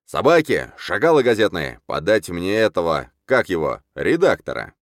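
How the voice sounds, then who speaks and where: A man's voice: sits low, sing-song, moderate pace, dry recording, clean studio sound. A man voicing a hot-tempered nomad khan of the steppe, roaring and blustering, in a comic historical audiobook. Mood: furious.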